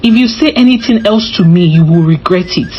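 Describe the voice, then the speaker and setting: A woman's voice: lowish voice, expressive, fairly quick, dull audio, faint music behind, natural voice. Woman voicing a spoiled, insistent young village beauty. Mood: threatening. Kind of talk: storybook folktale character voice.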